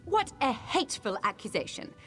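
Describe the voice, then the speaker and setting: Haughty High Elf woman, swooping intonation. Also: sing-song, moderate pace, fairly bright tone. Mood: indignant, defensive. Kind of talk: fantasy video game dialogue. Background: background music.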